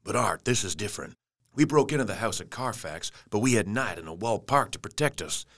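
An actor playing a brash, plain-spoken young adventurer in an audio drama. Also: male voice, on the low side, expressive, fairly quick, a bit bright, dry recording, studio quality. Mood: wary, frank.

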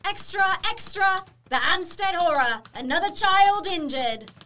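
A very high, sharp-edged young news hawker, crying out excitedly in the street for a radio drama. Some clicking noise sits behind the voice. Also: female voice, measured pace, full character voice. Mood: excited hawking. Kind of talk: shouted street cry.

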